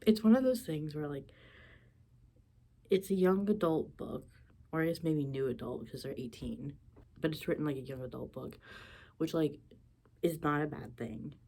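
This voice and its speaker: A woman's voice: low-pitched, normal speed, long pauses, warm tone. A young woman chatting casually in a vlog, coming down with a sore throat and a stuffy nose, laughing often.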